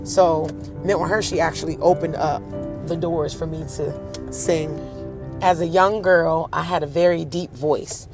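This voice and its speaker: A woman with a deep, husky voice hosts a casual storytelling tour outdoors, animated and acting out the voices of people she quotes. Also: unhurried, over music. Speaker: host.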